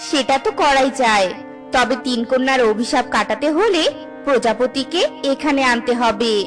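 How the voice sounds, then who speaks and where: A woman voicing a wise, calm fairy mother in a cartoon fairy tale, measured and explanatory. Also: high voice, faint music behind, close to an everyday voice. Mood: calm, advising.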